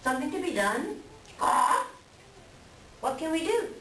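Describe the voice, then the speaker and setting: A woman playing an excitable, indignant raven with theatrical exclamations and harsh caws in a readers theater folktale. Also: high-pitched, measured pace, full character voice. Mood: eager, puzzled. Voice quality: harsh cawing outbursts. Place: library room at a live reading. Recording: roomy, distant live recording with noise.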